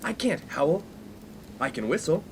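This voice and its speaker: A man's voice: fairly high pitch, sing-song, unhurried, creaky, very noisy, heavily acted. A man playing a brash young college friend in a comic radio play, pompous, wordy and playful. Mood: playful, teasing. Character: brash, inappropriate young male college friend, mock-formal prankster. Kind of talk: 1950s-set comic radio play acting.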